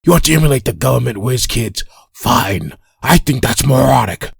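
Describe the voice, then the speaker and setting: A man acts a crotchety, bloodthirsty old-timer in a comic dub. His voice is gravelly and raspy, sneering with lively swoops of pitch, in a dry close recording. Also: moderate pace, no room sound, full character voice. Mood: contemptuous. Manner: scornful, crotchety. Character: cantankerous, bloodthirsty old man ranting at youths.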